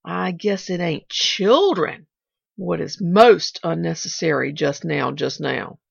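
A woman's voice: fairly low pitch, slow, creaky, breathy edge, no echo, exaggerated voice. A woman reading the part of a feisty, resentful housemaid who talks in plain country grammar, on a dull lo-fi recording. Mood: indignant. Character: feisty young maid, resentful and blunt.

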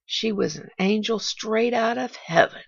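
Lady narrator doing old gardener guy, rustic drawl, real gravelly and husky, kinda dull lo-fi sound. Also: fairly high pitch, no echo, voice pushed up, full character voice. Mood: wondering. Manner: rustic dialect, slow and wondering. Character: old male gardener, gentle and sentimental. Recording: dull lo-fi recording.

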